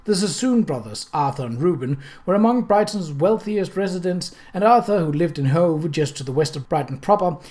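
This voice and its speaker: A man's voice: on the high side, animated, brisk, hardly any pauses, a little mellow. A man reading a novel aloud as an audiobook narrator, in scripted storytelling prose.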